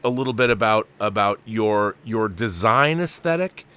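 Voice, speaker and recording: Male host, middle-aged, forceful bright voice, opinionated and enthusiastic in casual fan chat. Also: fairly low pitch, lively intonation, moderate pace, clear, resonant tone. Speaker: show host.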